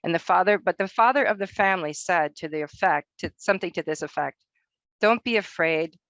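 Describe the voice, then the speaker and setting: A woman from Northern Norway, a researcher giving a prepared academic presentation, reading her text in a measured way. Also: fairly low pitch, fairly bright tone, no echo, highs cut off.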